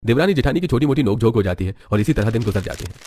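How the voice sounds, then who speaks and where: A man's voice: mid-range voice, expressive, very fast, dark tone. A man narrating a scripted bedtime story as a storyteller. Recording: music behind the voice. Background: background music.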